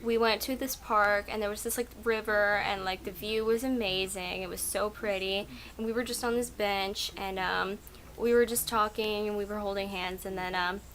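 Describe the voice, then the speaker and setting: A young woman, a native Russian speaker, chats loosely through a video from her home at night. Her voice sits at an ordinary middle pitch, and her rambling is filled with "like" and "um." A faint background noise lingers behind her. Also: steady tone, moderate pace, a bit bright. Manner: rambling, chatty, lots of "like" and "um". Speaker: vlogger. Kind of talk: casual chat answering tag questions.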